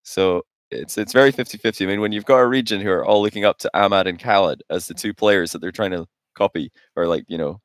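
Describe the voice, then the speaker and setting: A man's voice: low-pitched, quick pace, few pauses, no room sound, clean studio sound. A man in his late twenties chatting casually as co-host of a gaming podcast. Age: late 20s.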